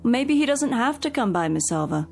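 A woman's voice: fairly high pitch, very animated, normal speed, gravelly, faint music behind. An actress playing a practical female companion in a radio drama, patient, coaxing and reasoning. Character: practical female companion soothing an elderly employer.

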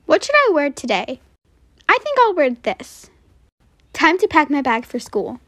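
A woman's voice: sits high, big pitch swings, unhurried, fairly bright tone, dry recording, studio quality, heavily acted. A woman voicing a brave, eager young schoolgirl in an amateur roleplay drama. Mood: excited.

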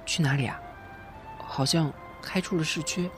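A man's voice: on the high side, moderate pace, music in the background, lightly acted. A man playing an earnest, modest young novice actor in an audio drama, sincere and deferential, with roomy echo. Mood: curious. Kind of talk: Chinese audio drama acting.

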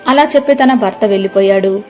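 Female storyteller, soft dark timbre, medium pitch, scripted village-tale narration. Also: fairly quick, faint music behind.

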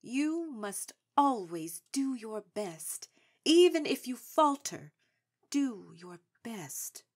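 Gracious elder suffragist, radio drama, formal, unhurried, slightly high voice. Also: female voice, sing-song, heavily acted. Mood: gracious, resolute. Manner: measured, formal. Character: gracious, principled older woman suffragist mentor.

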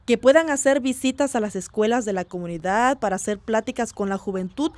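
An actress playing an earnest village community activist being interviewed in a radio drama, measured and sincere. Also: female voice, on the high side, darkish tone, no echo, lightly acted.

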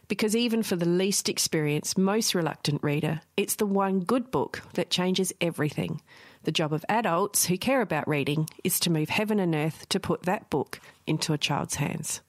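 An Australian woman with a slightly low voice speaks as a teacher and podcaster. Her delivery is earnest and articulate, and the recording is dry and close. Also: dry recording.

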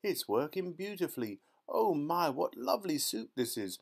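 An excitable, boastful little girl from a storybook, acted by a man. The voice is lifted high and gushes along in a headstrong, gum-chewing way. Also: moderate pace, creaky, breathy edge, heavily acted. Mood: delighted. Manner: gushing, excitable. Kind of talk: dramatised children's storybook reading.

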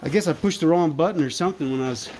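A man's voice: a little high, racing, a little mellow. Folksy man rambling over noise. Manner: rambling, folksy, thinking aloud. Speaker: vlogger exploring a cave. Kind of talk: casual off-the-cuff vlog narration. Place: cave trail.

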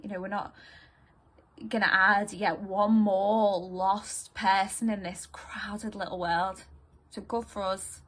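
A woman performing a dramatic stage monologue as a distraught, bitter, grieving partner in a couple, husky, halting and raw. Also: medium pitch, fairly even intonation, a bit bright. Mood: brittle, forced relief.

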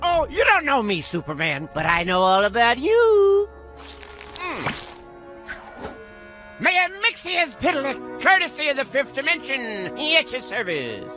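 A mischievous little cartoon imp, very high in pitch and wildly animated. He is theatrical and mocking, a gloating trickster from a video game, over background music. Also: a little slow, full character voice. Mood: smug, teasing. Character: gleeful mischievous imp from another dimension, self-appointed mayor. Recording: music behind the voice.

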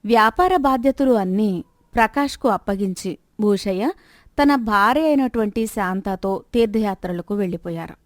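A woman narrates a scripted moral tale. Her voice is of middling pitch, recorded very dry with no room sound. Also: normal speed, no echo.

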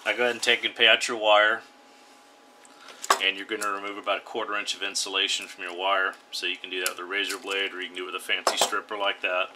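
A man hosting a radio hobby channel, calmly talking viewers through a hands-on build step by step. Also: low-pitched, fast talker, background noise. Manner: plain, instructive, step-by-step. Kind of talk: DIY tutorial voice-over.

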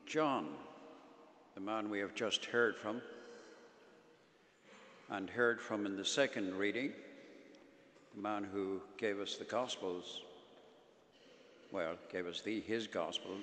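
A man's voice: lowish voice, fairly bright tone. A man, a priest, reading the gospel and giving a conversational homily, joking with the congregation. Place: large church. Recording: echoey church with long reverb.

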